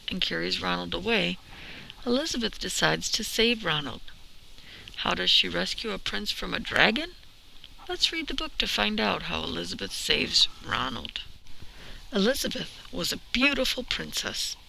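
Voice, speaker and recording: A woman narrates a storybook for children in an expressive, measured way. Her voice is bright and very creaky, and she takes her time, with some background noise on the recording. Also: medium pitch, low-quality recording. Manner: deliberate, measured phrasing with emphasis. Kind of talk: expressive read-aloud narration.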